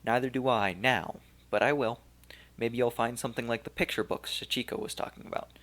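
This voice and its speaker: A man reading the part of a determined young woman archaeologist, in his own gravelly voice with a firm, earnest delivery. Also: mid-range voice, fairly quick, fairly bright tone, lightly acted.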